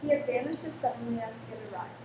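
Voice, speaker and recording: Female narrator, rapid dramatic radio-play delivery, fairly high, echoey room. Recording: distant mic, echoey room, clear noise.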